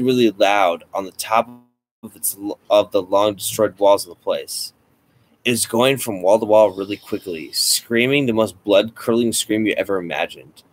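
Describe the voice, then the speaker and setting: A man's voice: on the low side, a little hiss or hum, rough recording. A man co-hosting a casual podcast, reading a scary story aloud with swearing and dry asides. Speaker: podcast co-host.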